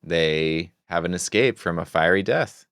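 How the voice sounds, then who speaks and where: A man's voice: low voice, unhurried, a bit bright, smooth, no echo, clean studio sound. A man co-hosting a podcast, recapping comics in a casual, conversational, explanatory way. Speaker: podcast co-host.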